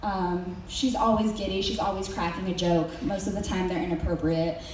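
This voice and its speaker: A woman's voice: fairly low pitch, steady tone, moderate pace, darkish tone, a little hiss or hum. A young woman from Mexico giving a senior presentation to her school, hesitant with many ums and dry joking asides. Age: young adult. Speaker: student presenter. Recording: very echoey hall recorded from far off.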